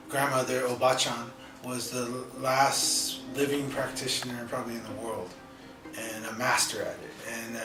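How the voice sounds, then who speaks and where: A man from California, a poet and educator, answering interview questions in a reflective, halting way with many fillers. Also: medium pitch. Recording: roomy echo, distant mic, music.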